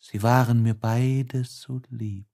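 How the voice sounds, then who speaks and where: A man reading the part of a grieving young woman in a slow, declamatory lament, as in an audiobook of old literature. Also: mid-range voice, fairly even intonation, gravelly, mellow. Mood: anguished, mournful. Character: grieving young woman mourning slain lover and brother.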